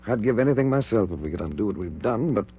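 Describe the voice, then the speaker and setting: An actor in a 1940s radio horror play, playing a sensible, concerned doctor friend who reasons and coaxes. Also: male voice, fairly low pitch, animated, quick pace, lightly acted. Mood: coaxing, calm. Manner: reasoning, coaxing, avuncular. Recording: muffled 1940s radio recording with hum.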